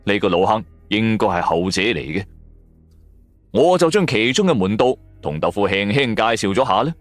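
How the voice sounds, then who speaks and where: A man's voice: lowish voice, lively intonation, normal speed, dry recording. A man with a Cantonese accent narrating a supernatural serial in the first person, in a dramatic, colloquial storytelling manner. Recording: music bed under the voice. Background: background music.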